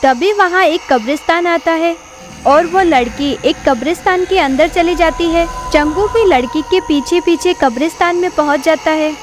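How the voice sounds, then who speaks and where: A woman giving scripted narration for an animated horror story. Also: very high voice, moderate pace, soft background music.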